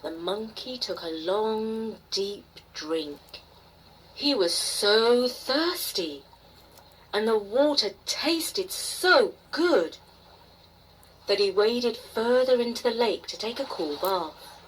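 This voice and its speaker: A woman storyteller narrating a bedtime tale expressively, with dramatic pauses and emphasis. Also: a little high, expressive, slightly rough, low-quality recording. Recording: faint noise behind the voice.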